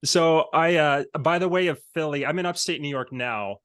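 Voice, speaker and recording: A man's voice: a little high, moderate pace, fairly bright tone, clean tone. American man hosting a casual interview. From Pennsylvania, United States. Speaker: podcast host.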